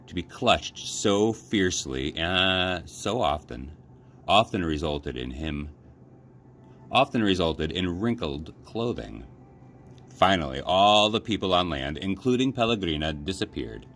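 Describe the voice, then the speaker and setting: Low male voice, animated intonation, children's storybook narration, expressive, background noise. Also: unhurried. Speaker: youth librarian reading aloud.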